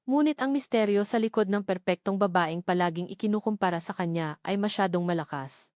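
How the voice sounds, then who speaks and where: A woman reads a scripted dramatic story as its narrator. Her pitch sits in the middle range for a woman, and the sound is dry and close, free of room echo. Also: a little mellow.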